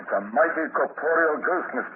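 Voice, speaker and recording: An actor playing a dry, wry, businesslike police inspector in a 1950s radio crime drama. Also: male voice, fairly high pitch, brisk, natural voice. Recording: scratchy old radio broadcast, tinny and hissy.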